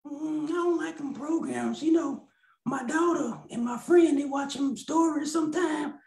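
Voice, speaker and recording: A man's voice: very high voice, unhurried, creaky, a little mellow, full character voice. A bawdy, churchgoing old grandma puppet who drawls her answers and calls everyone baby, voiced by a man. She sounds a touch roomy, like a small home room on a video call. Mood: sassy.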